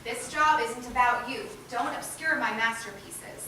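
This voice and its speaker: A woman's voice: fairly high pitch, a little slow. A woman giving a scripted dramatic reading in a readers' theatre group. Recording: echoey hall, distant mic, loud noise.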